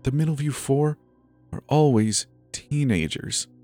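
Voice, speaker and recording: Creepy little girl, as a man puts her on, whiny, sing-song, petulant, raised pitch. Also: unhurried, a little mellow, no echo, soft background music, natural voice. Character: creepy little girl puppeteer, childish tyrant.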